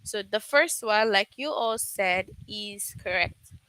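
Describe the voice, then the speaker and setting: A woman's voice: mid-range voice, takes it slowly, bright, forceful tone, no echo, low-quality recording. A woman teaching a live online grammar lesson, patiently checking understanding with frequent "okay?".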